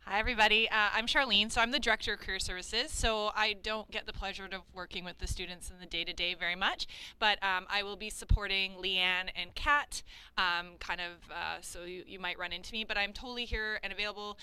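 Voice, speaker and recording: Bright, clear-voiced woman chatting. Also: medium pitch, fairly even intonation, fairly quick, low-quality recording. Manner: chatty, self-amused, many fillers. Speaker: career services director. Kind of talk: casual staff introduction.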